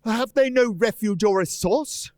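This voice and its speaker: High-voiced, frightened old miser played by a man. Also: unhurried, darkish tone, no echo, clean studio sound. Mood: anxious pleading. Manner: hesitant, earnest, theatrical. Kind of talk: stage radio play acting. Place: live performance in a church.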